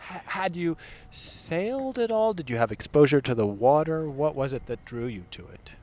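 A man interviewing a guest outdoors by a marina, curious and quick with short encouraging replies. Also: fairly high pitch, very animated, darkish tone.

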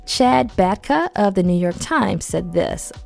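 A woman's voice: medium pitch, animated, a little slow, creaky, a little mellow, soft background music. A woman hosting a casual podcast, stuffy and losing her voice from a head cold, reading facts with chatty, slangy asides. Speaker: podcast host.

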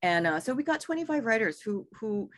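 A woman's voice: on the high side, big pitch swings, fairly quick, a bit bright. A woman from Singapore, an author and editor, talking in an interview in a chatty way with many fillers and restarts. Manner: chatty, lots of fillers and restarts, laughs easily. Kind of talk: interview conversation.

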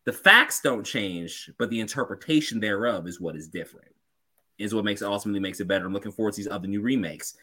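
A man's voice: fairly low pitch, quick pace, pushed and bright, slightly rough. A man on a casual podcast panel over a video call, exuberant and joking, breaking into preacherly flourishes. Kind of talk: casual group chat.

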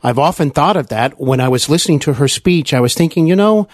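A man interviewing a guest as a podcast host, polite and measured, with hesitant pauses. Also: fairly high pitch, lively intonation, no echo, studio quality.

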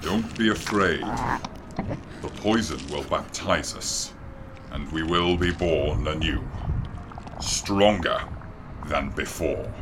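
A man's voice: rumbling low, slow, long pauses, noisy background. An actor playing a fanatical, grandiose old alien king and father in a sci-fi audio drama, declaiming solemnly. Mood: calm, grandiose. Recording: loud music under the voice.

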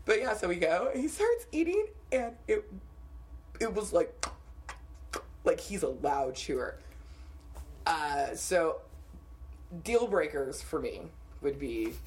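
A young woman co-hosts a casual podcast, spinning dating stories with lots of laughter. Her voice sits low but swings widely, and a faint hum runs underneath. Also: slow pace, long pauses. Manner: animated storytelling with frequent laughter. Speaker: podcast co-host. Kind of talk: casual chat.

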